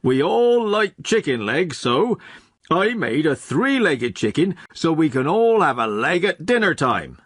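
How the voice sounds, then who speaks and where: Plain-spoken farmer for a comic audio joke man acting, deliberate and matter-of-fact, slightly husky, dry studio sound. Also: a little high, sing-song, no echo, exaggerated voice.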